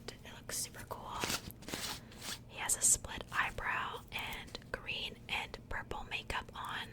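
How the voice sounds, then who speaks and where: A woman speaking in a soft, breathy whisper, chatting casually and unhurriedly in the style of an ASMR unboxing. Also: rough recording. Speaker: ASMR video creator.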